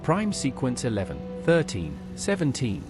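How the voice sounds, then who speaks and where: A man's voice: medium pitch, huge pitch swings, moderate pace, music in the background. A man playing an inquisitive adventurer in a fantasy game, with a plain-spoken, questioning manner. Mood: curious. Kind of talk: AI-voiced fantasy game dialogue.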